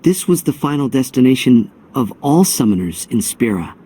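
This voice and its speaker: A man's voice: mid-range voice, big pitch swings, moderate pace, mellow, husky, rough recording. An actor playing an earnest, wistful young male hero, a resolved outcast, with reflective, solemn delivery in a video game cutscene.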